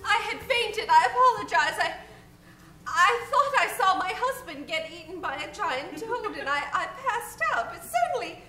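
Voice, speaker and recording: A woman's voice: very high-pitched, expressive, normal speed, bright, forceful tone, echoey room, faint music behind, full character voice. A woman playing a dazed, fretful wife in a comic old-time radio horror play, breathless and melodramatic. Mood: dazed, anxious.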